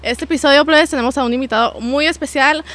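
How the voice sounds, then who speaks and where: A woman's voice: high voice, fast talker, a bit bright. A woman in her twenties with a Mexican Spanish accent hosts a casual chat, talking in a slangy, effusive way into a close podcast mic. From Phoenix, USA. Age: 20s. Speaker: podcast host. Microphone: podcast microphone on boom arm, close.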